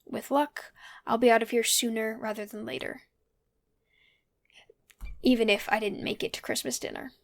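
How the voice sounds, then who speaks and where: A wry young woman thinking aloud in an audio drama. Her voice sits fairly high for casual talk and has a noticeable creak. She muses conversationally, like someone recording private reflections. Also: fairly even intonation, normal speed, long pauses. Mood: weary, resolved. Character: wry, pensive young person alone in an isolated house.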